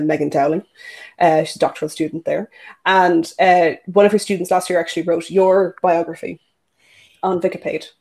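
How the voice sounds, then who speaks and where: A host asking questions in a casual interview, pausing often with "uh" and "you know". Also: mid-range voice, a little mellow. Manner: hesitant, many "uh" and "you know" fillers.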